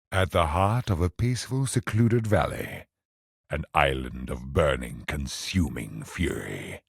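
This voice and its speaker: An actor playing a grandiose, brooding godlike cosmic villain in a video game, speaking in solemn, pompous, poetic monologue with a gravelly, husky voice. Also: male voice, rumbling low, no echo, studio quality, heavily acted.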